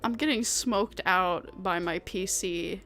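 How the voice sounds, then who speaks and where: A young woman from the United States chatting casually as a guest on a stream, animated and quick to laugh loudly. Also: medium pitch, soft background music.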